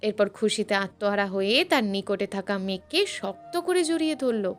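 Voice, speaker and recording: A woman's voice: mid-range voice, animated, moderate pace. A woman reading a scripted story as a narrator, with dramatic, emotive delivery. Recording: music bed under the narration. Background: background music.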